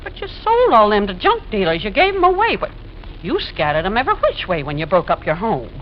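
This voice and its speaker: A woman's voice: medium pitch, wildly swooping pitch, fairly quick. An American woman playing a nosy, folksy, skeptical landlady with a chatty homespun manner, in 1940s radio drama style. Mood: doubtful. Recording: dull, noisy old radio recording.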